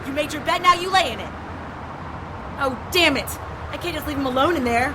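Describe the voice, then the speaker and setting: An actress in an audio drama playing a sarcastic, exasperated, bossy female police detective with a sharp, commanding manner. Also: sits high, a little fast, noisy background, lightly acted. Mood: angry, worried.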